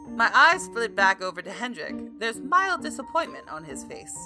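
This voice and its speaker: A woman gamer narrates a story game with a lively, swinging pitch. She reads theatrically and drops into casual asides, with music clearly playing behind her. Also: moderate pace. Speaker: gaming video host reading a visual novel aloud.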